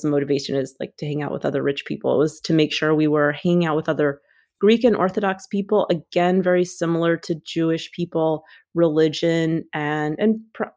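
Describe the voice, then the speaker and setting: Woman author guest, low and even-toned, unhurried and reflective. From United States. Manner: thoughtful, measured, pauses often. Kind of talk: reflective interview talk.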